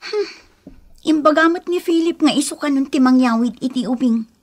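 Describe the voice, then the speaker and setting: A woman's voice: high-pitched, normal speed, close to an everyday voice. A woman playing a discontented, stubborn young wife and mother in a Filipino radio drama, plaintive and melodramatic, with an Ilocano accent. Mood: pleading.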